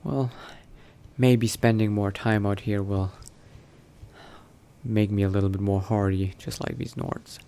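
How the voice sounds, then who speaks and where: Slow, low-voiced male vampire played by a gamer. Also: level tone, clean tone. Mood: relieved, reflective. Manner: hushed, halting self-talk with sighs and pauses. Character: cautious newly turned male vampire, brooding adventurer thinking aloud. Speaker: roleplay gamer. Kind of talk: in-character video game roleplay monologue. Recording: noticeable background noise.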